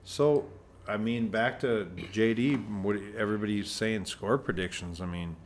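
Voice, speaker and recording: A soft-spoken man co-commentating a pool match as a club owner, chatty and quick to laugh. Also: lowish voice, fairly even intonation, a little hiss or hum.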